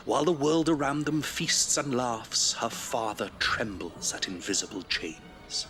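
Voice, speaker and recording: A man's voice: mid-range voice, animated, measured pace. A man narrating an audio drama in a dramatic, scripted storytelling style. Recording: music behind the voice.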